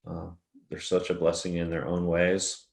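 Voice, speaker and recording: Deep baritone guy chatting easy on a podcast, kinda rambly, echoey room sound. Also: moderate pace, vocal fry. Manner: relaxed, rambling, laughs often. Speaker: guest singer-songwriter. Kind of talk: casual interview chat.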